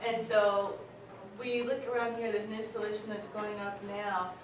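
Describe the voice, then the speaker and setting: A woman's voice: mid-range voice, flat delivery, normal speed. Distant woman addressing a very echoey room. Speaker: cultural center director hosting an event. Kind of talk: informal introductory speech to an audience. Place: indoor cultural center room. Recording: echoey, noisy room from far off. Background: room noise.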